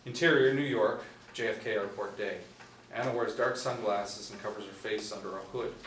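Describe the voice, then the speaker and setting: A man in his forties reads script narration in a low voice. The recording is roomy and a little distant. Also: steady tone, moderate pace, a bit bright. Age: 40s. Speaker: narrator of stage directions. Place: small room indoors. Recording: roomy, distant mic, faint noise.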